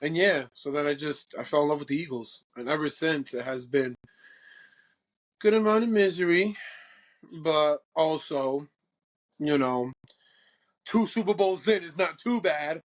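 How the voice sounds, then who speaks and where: Cocky young guy from croatia hosting a football podcast, kinda high voice, swears a lot. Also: measured pace, long pauses. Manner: brash, boastful, swears freely. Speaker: podcast co-host. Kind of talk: casual sports chat.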